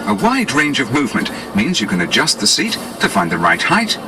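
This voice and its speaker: British male promo narrator, lively intonation over music. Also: mid-range voice, a little fast. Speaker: advertising narrator. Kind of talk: scripted 1980s promotional narration. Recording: 1980s cassette tape with music behind.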